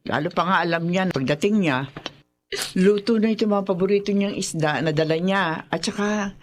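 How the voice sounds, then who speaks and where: A woman's voice: fairly low pitch, normal speed, close to an everyday voice. A woman playing a fussing, reassuring older mother in a radio drama, speaking expressively.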